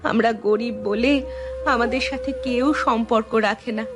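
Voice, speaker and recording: A woman's voice: a little high, fairly even intonation, normal speed, close to an everyday voice. A woman voicing a poor, weary, middle-aged widowed mother in a cartoon story, mournful and lamenting. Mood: sorrowful. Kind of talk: Bengali cartoon story voice acting. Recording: music behind the voice.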